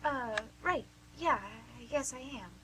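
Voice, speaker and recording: A woman portrays a flustered, perky female caller in a radio play. She apologizes and dithers in a bright, fairly high voice, over a faint noisy hum. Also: expressive, a little slow. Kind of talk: amateur radio play acting. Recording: hum and faint background noise.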